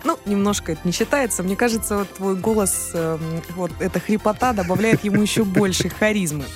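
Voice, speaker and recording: A woman co-hosting a morning radio show, lively and chatty as she tells stories in the studio. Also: mid-range voice, a little fast, faint music behind. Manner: lively, storytelling. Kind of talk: casual radio banter.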